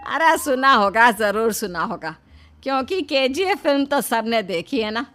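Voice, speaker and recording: A woman's voice: fairly high pitch, big pitch swings, moderate pace, fairly bright tone. A woman voicing an indignant, proud middle-aged mother-in-law, exclamatory and scolding, in a cartoon story. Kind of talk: Hindi cartoon story voice acting.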